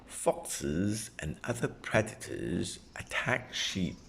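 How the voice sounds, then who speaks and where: A man reading a children's nonfiction book aloud as a parent would, in a deliberate way suited to a young child. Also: deep voice, sing-song, vocal fry, a bit bright, a little hiss or hum. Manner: deliberate, repeating and simplifying phrases for a child.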